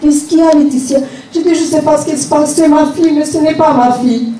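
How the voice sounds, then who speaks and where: A woman's voice: high-pitched, fairly even intonation, brisk, warm tone, a little hiss or hum. A middle-aged woman gives a personal religious testimony, telling her story earnestly and repeating phrases for emphasis. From Rwanda. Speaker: church member giving testimony. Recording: echoey room, distant mic, music.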